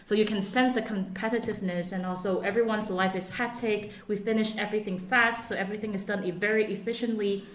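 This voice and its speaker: Young woman from Hong Kong, student podcast co-host, expressive and animated, recorded in an echoey room. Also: medium pitch, fast talker, hardly any pauses. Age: young adult. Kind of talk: casual podcast chat. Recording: roomy echo.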